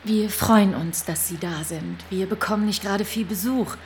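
A woman's voice: mid-range voice, normal speed, darkish tone, lightly acted. Lady playing a farmer's wife in a radio drama, polite but nervy and careful, bit of noise behind. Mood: welcoming, polite. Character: polite, uneasy farmer's wife hosting an official. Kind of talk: German radio drama acting.

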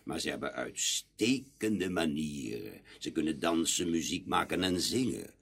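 A man's voice: a bass voice, lively intonation, gravelly, fairly bright tone, heavily acted. An actor playing a stately old elf king and father in a fairy-tale radio play, speaking with solemn dignity. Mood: indignant, proud. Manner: solemn, deliberate, dignified delivery.

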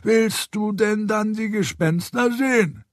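A man voicing a nosy, mocking gentleman in an audiobook, incredulous, needling and easily affronted. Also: high-pitched, slow, no echo, clean studio sound, pitched above the performer's own voice. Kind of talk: audiobook character voice.

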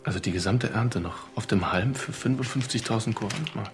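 A male actor plays a middle-aged merchant patriarch with a very deep voice. He is proud and stern, questioning in clipped phrases in a radio drama. Music plays clearly behind him. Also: fairly quick, close to an everyday voice. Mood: guarded, calculating. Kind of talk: German radio drama acting.

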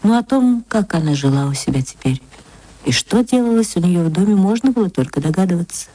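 A woman actress narrating a literary story for radio in a measured, theatrical storytelling manner. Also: on the low side, lively intonation, warm tone, no echo.

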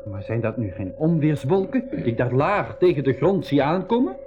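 A man's voice: fairly high pitch, very animated, moderate pace. Eager boy adventurer, thin radio sound. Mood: alert, curious. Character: Piet, eager outspoken boy telling his travel adventures. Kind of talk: 1980s school radio play, narration and dialogue. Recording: thin phone-like radio recording, music.

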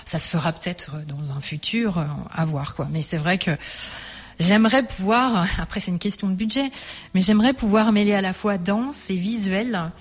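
A woman musician being interviewed on radio, talking thoughtfully with many pauses and fillers. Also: mid-range voice. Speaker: musician guest.

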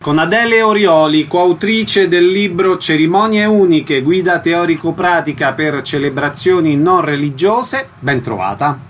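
A man works as a radio host and interviewer. His voice sits a little high for a man, and he delivers questions and introductions in announcer-like phrases. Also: expressive, unhurried, hardly any pauses, soft background music.

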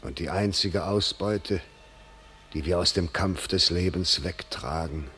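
Dying old-world war commander, very deep n slow, proud and bitter, weighty stage verse. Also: male voice, bright, forceful tone, soft background music. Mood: fading, disdainful. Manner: declamatory, weighty, solemn. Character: dying, bitter, proud medieval English general. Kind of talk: classical German verse stage acting.

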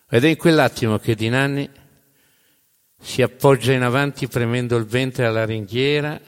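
A man performs dramatic spoken-word narration as a stage storyteller. His mid-pitched voice rings through a long hall reverb. He is emphatic, leaving deliberate pauses and repeating phrases for effect. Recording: reverberant hall live recording.

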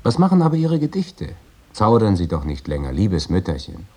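A man's voice: low-pitched, wildly swooping pitch, normal speed, a little mellow, heavily acted. An actor playing an affectionate, chatty young literary man, reading his letters in a courteous, coaxing manner. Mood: fond, chatty. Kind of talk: dramatic reading of 19th-century letters.

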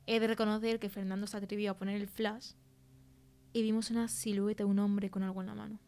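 A woman's voice: medium pitch, flat delivery, quick pace, warm tone, natural voice. An actress playing a shaken young film-crew woman telling an eerie story in colloquial Castilian Spanish, in a chatty, teasing way. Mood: uneasy, candid. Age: young adult. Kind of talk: conversational interview in an audio fiction podcast.

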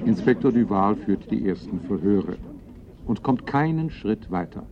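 Measured narrator of a crime radio play, low voice, slightly muffled old recording. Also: male voice, lively intonation, a loud crowd. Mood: matter-of-fact, suspenseful. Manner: measured, literary. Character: dry, atmospheric male narrator of a crime story.

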